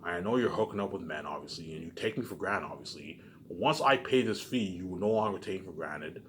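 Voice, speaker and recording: Casting: male vlogger, low voice, loose repetitive monologue with emphatic bursts and muttered endings, some background noise. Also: lively intonation, a little fast, a bit bright. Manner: frequent swearing. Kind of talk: rambling casual monologue. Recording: clearly noisy background.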